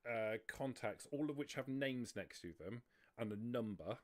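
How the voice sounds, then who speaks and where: A man running a tabletop roleplaying game, narrating off the cuff in a halting, deliberate way. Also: mid-range voice, animated. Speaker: game master.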